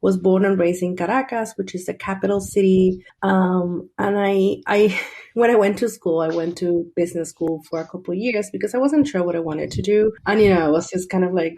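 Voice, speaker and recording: Guest: woman, 30s, lowish, chatty with restarts. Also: a little mellow. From Venezuela. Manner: chatty, restarts sentences, laughs often. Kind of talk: casual interview.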